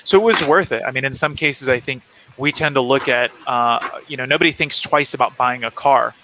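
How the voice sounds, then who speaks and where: A man's voice: mid-range voice, a little fast. A man from South Carolina speaking conversationally as an interview guest, explaining things reflectively. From South Carolina, United States. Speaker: guest author. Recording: clear background noise on livestream.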